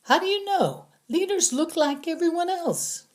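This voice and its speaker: A woman's voice: very high voice, animated, normal speed, pitched above the performer's own voice. A woman voicing a frantic captive man in an audiobook, insistent and pleading as he accuses and defends himself. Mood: accusing.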